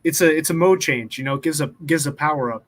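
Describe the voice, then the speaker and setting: A young man with a slightly higher voice hosts a loose online hangout. He jokes around and stumbles through repeated words as he talks. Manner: joking, halting, repeats words. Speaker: host. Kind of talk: casual group chat.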